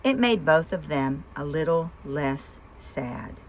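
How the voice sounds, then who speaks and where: A woman with a fairly low voice reads a children's picture book aloud. Her pitch moves widely as she tells the story and puts questions to young listeners. There is faint-to-clear noise behind her. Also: lively intonation, slow pace. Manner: asks listeners simple questions between story lines. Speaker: storyteller and host of a read-aloud show.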